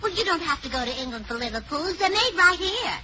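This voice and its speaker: A woman's voice: very high-pitched, big pitch swings, fast. A woman playing a scatterbrained, cheerful housewife in 1940s radio comedy. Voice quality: bright, naive. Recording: dull lo-fi old radio with hiss.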